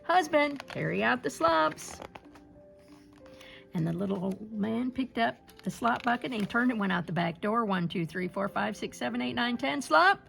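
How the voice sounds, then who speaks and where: A woman storyteller reading a picture-book folktale aloud in an expressive way. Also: a little high, brisk, creaky, few pauses, soft background music.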